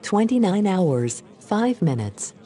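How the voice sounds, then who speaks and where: A woman's voice: mid-range voice, sing-song, slow, mellow. A woman playing a polite, helpful domestic AI in an audio drama, friendly and matter-of-fact. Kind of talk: scripted audio drama dialogue.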